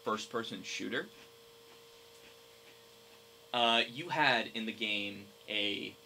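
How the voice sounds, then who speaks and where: Very bright, sharp young man's voice. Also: on the low side, slow, vocal fry, slight background noise, rough recording. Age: young adult. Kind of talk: casual group chat about games.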